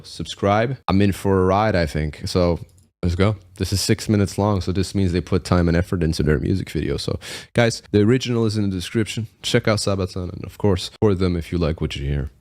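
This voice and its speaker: A man's voice: low voice, brisk, a little mellow. A young man from Belgium with an American-sounding accent, rambling and correcting himself as he hosts a casual reaction video. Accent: American-sounding, picked up from rap. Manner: rambling, self-correcting, slangy.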